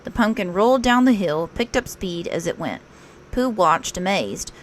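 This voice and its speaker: A woman narrating a children's story aloud in an expressive read-aloud style, like a teacher. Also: mid-range voice, moderate pace, a little hiss or hum.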